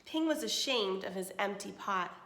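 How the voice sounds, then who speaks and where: A woman reading a children's story aloud with expression, pausing to explain and put questions to her listeners. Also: mid-range voice, animated, a bit bright, echoey room. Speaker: teacher reading a picture book aloud.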